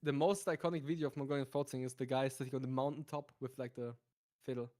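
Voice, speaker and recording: A man joining a livestream as a guest, chatting casually and calmly explaining how his voice technique works. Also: mid-range voice, fast. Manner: calm, matter-of-fact, explains vocal technique. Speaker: stream guest. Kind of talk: casual chat.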